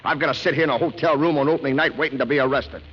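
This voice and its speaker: A man's voice: medium pitch, racing, husky, lo-fi sound, lightly acted. A man playing a desperate, scheming Broadway producer in a 1940s radio comedy, urgent and bossy. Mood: exasperated.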